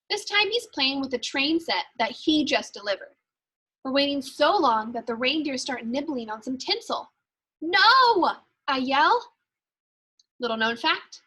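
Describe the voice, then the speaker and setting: A woman's voice: high-pitched, sharp tone, exaggerated voice. A woman reading a children's storybook as an eager, fretful little Christmas elf, chipper and confiding. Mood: impatient, exasperated. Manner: chipper, punctual, confiding asides. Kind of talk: children's storybook read-aloud.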